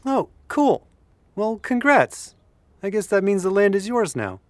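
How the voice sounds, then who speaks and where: A mild-mannered, supportive college-age son, played by a man in an audio drama. The voice is a little high for a man and recorded quite dry. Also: very animated, measured pace, no room sound. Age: young adult.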